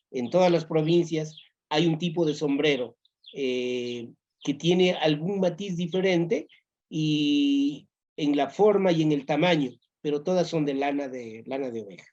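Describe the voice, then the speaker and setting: Halting man, muffled recording. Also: a little high, a little mellow. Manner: hesitant, halting, many fillers. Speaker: interview guest, educator. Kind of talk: interview answers.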